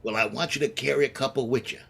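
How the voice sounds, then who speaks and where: An actor playing a scheming, vengeful condemned gangster with tough slangy talk, in the manner of a 1930s radio crime drama. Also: male voice, medium pitch, fairly even intonation, quick pace, vocal fry, fairly bright tone, breathy edge. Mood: coaxing, menacing.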